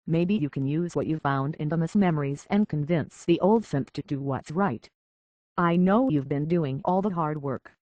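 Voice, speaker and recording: An actress playing an earnest, conflicted young woman in a video game, speaking hesitantly and with a soft heart. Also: low voice, dry recording, lo-fi sound. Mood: resolved, caring. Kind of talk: video game character dialogue.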